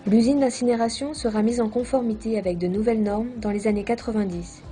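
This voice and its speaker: A woman reading a scripted documentary narration in a measured, formal manner. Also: fairly high pitch, steady tone, warm tone, faint music behind.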